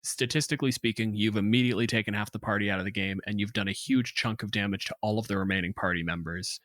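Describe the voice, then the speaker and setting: A man's voice: lowish voice, fairly even intonation, fast, a bit bright, dry recording. A man co-hosting a tabletop gaming podcast, casually analytical, articulate, emphatic and opinionated. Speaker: podcast co-host. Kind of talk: casual analytical discussion of tabletop game monsters.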